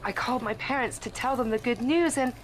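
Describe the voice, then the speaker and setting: An actress playing a besotted young bride drawn into a cult, delivering an intense, breathy diary monologue in an audio drama. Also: female voice, on the high side, a little fast, a little hiss or hum, close to an everyday voice, poor audio. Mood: rapturous.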